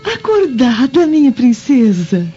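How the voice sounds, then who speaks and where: A woman playing a gentle, wistful Roman wife and tender mother in a radio drama, with a soft, breathy delivery. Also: high-pitched, big pitch swings, slow pace, faint music behind, heavily acted. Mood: tender, reassuring. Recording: dull lo-fi audio.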